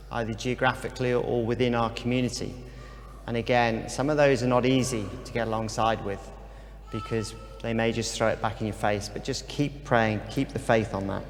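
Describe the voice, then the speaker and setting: A man giving an informal faith talk, relaxed and earnest, with frequent ums. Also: medium pitch. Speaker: speaker at a church talk. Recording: long hall reverb.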